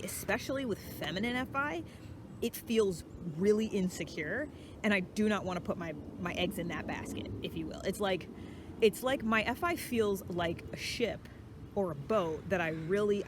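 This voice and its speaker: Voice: medium pitch, noisy background. A channel host talking casually and reflectively in a solo vlog, thinking aloud in a conversational way.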